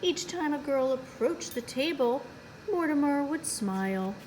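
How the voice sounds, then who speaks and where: A woman's voice: high voice, animated, measured pace, a little mellow, slight background noise, rough recording. A woman reading a picture book aloud for young children as a storytime host, playfully adding little asides to her listeners.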